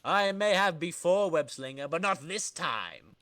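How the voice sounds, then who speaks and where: A man's voice: fairly high pitch, lively intonation, a little slow, bright, forceful tone, no room sound. A man voicing a boastful, vengeful skateboarding comic-book villain with swaggering, slangy tough talk. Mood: taunting.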